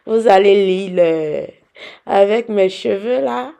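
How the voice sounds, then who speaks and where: A young woman from Benin, hoarse from a cold, chatting and teasing viewers as she demonstrates a recipe. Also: mid-range voice, slow, mellow. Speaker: cooking vlogger. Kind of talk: casual cooking demonstration.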